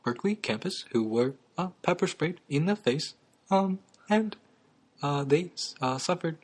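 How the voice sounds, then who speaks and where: A young man hosting a comedy talk show, delivering absurd claims in a halting deadpan full of ums and uhs. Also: fairly high pitch, slightly rough.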